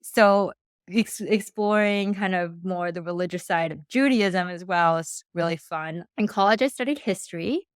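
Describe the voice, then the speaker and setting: Young American woman, teacher guest, chatty, clean smooth tone, dry room. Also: fairly low pitch, moderate pace, no echo. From United States. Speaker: history teacher, podcast guest. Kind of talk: casual podcast conversation.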